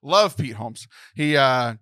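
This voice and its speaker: A man's voice: medium pitch, animated, takes it slowly, pushed and bright, dry recording. A man co-hosting a casual podcast, joking and often laughing, on a close mic. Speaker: podcast co-host.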